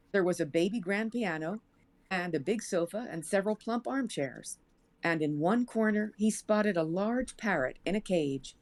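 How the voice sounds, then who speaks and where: A woman's voice: fairly low pitch, a little mellow. An American woman reading fiction aloud as a storyteller. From United States. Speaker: show cast member reading a story aloud.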